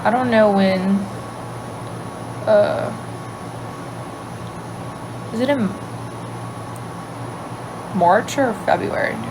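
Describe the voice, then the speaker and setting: A young woman from Louisiana with a light Cajun accent, chatting casually on a livestream and answering viewer questions, thinking aloud and trailing off into quiet asides. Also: medium pitch, very slow, long pauses, background noise. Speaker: livestream host. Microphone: phone.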